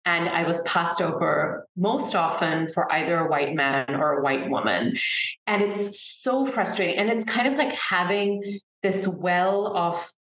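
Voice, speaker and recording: A woman with a lowish voice speaks earnestly and emphatically, often restarting her sentences as she works through a point. The room around her has a noticeable echo. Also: a little slow, fairly bright tone. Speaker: author and consultant, guest. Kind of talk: conversational interview talk.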